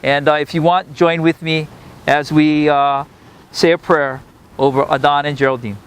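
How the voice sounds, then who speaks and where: A male officiant leads a beach wedding ceremony in a slow, solemn cadence. His first language is Hawaiian, and his voice sits a little high for a man. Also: fairly even intonation, clean tone. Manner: measured, solemn delivery. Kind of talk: wedding ceremony address and prayer.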